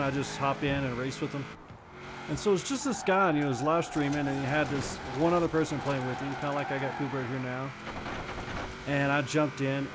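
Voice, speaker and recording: A relaxed man in his forties from Kentucky chats with his live-stream viewers, easygoing and joking. His voice sits a bit high for a man, and loud game car engines run clearly behind him. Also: noisy background. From Kentucky, USA. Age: 40s. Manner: easygoing, chatty, teasing viewers. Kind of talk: casual live-stream chat while racing. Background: racing game engine sounds.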